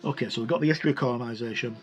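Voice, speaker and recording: A man's voice: medium pitch, racing, lo-fi sound. Hesitant man reviewing, music behind. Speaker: tabletop roleplaying game reviewer. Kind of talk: casual book walkthrough, alternating read-out passages and commentary.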